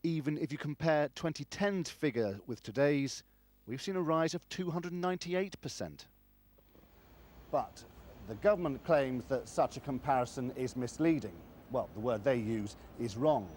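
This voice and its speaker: A man's voice: medium pitch, lively intonation, normal speed, darkish tone. A man narrating a scripted television news report.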